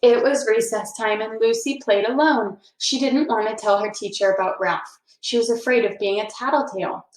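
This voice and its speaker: A woman hosting a read-aloud for children, speaking in an encouraging, deliberate storytelling manner. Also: a little high, fairly even intonation, slight background noise. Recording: echoey, reverberant room.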